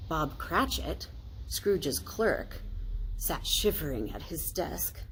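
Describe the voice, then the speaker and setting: An American woman, a pastor, reading a children's story aloud in a deliberate, explanatory way. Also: low-pitched, very animated, fairly bright tone, low-quality recording. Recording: faint noise, ambient sound.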